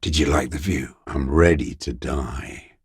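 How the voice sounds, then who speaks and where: An actor playing a bitter, dying old communist deserter in a video game, raspy and contemptuous, given to cursing and ideological scorn. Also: male voice, rumbling low, unhurried, no room sound, studio quality, exaggerated voice. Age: older. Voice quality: gravelly, raspy. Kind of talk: dramatic video game voice acting.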